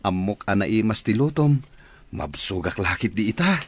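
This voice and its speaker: A man's voice: fairly low pitch, animated, moderate pace, husky. A man with a Filipino accent acting an affectionate, sweet-talking husband and father in a radio drama.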